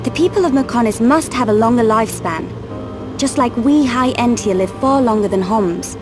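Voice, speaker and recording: A woman's voice: a little high, normal speed, natural voice. A woman playing a concerned, well-spoken young noble in a game cutscene, polite and earnest. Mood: curious. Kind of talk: dramatic video game cutscene acting. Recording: voice under clear background music.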